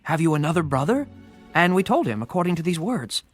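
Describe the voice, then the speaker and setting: A man's voice: fairly high pitch, very animated, a little fast, faint music behind. An actor playing an earnest son in a Bible audio drama, answering his elders and defending himself. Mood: defensive protest.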